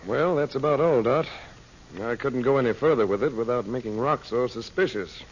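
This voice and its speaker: An actor playing an earnest, steady adventurer hero and uncle in an old-time radio serial. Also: male voice, medium pitch, normal speed, lightly acted. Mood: worried, earnest. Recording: muffled, hissy old radio recording.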